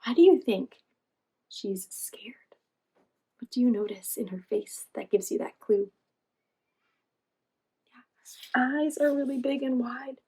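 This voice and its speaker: A woman hosting a children's read-aloud, reading expressively and pausing to ask encouraging questions. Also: fairly high pitch, mellow, slightly rough. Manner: encouraging, asks questions and pauses for answers. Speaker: read-aloud storytime host, teacher.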